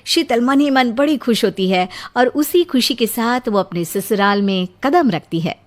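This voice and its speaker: A woman's voice: a little high, lively intonation, measured pace, voice pushed down. A woman playing a storyteller who narrates a family moral tale in scripted style. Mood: storytelling.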